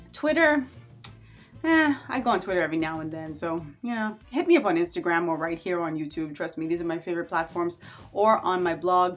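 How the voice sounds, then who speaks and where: A Bahamian woman talks straight to her viewers in a chatty, animated vlog. Her voice sits a little low for a woman. Faint music plays behind her. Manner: chatty and animated, with warm asides. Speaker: vlogger. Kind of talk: casual chat to camera.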